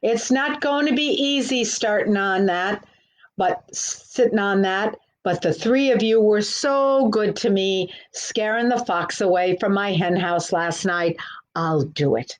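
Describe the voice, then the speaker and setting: A woman's voice: mid-range voice, unhurried, close to an everyday voice, poor audio. Woman reading as a grumbling, good-natured storybook hen. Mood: reluctant, obliging. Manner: grudging, folksy.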